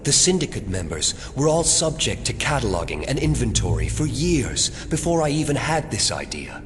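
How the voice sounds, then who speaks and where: A man playing a smug, animated villainous schemer. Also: medium pitch, soft background music, lightly acted. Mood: smug, proud. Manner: condescending, measured, formal wording. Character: smug, calm man running a secret cloning facility. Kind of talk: audio drama acting.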